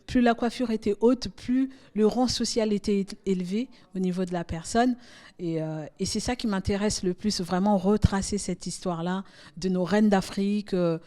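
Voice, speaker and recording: A woman from Congo, an exhibiting artist, talking slowly and hesitantly in an interview. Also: medium pitch, dark tone.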